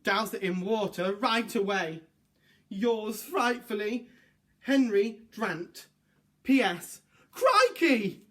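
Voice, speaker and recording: Casting: male reader as a flustered, frightened storybook worrier, high voice, wildly swooping, gasping exclamations. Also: animated, slow, pushed and bright, full character voice. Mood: flustered, fretting. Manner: breathless exclamations, gasping alarm. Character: panicky, frightened male letter writer, excitable worrier. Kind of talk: children's storybook read-aloud.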